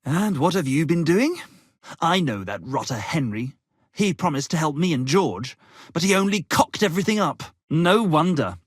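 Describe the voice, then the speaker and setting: Husky, flamboyant medieval tavern poet. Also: male voice, a little high, very animated, moderate pace, dry recording, clean studio sound. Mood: breezy, boastful. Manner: florid, theatrical, pompous. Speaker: video game voice actor. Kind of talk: theatrical game character dialogue.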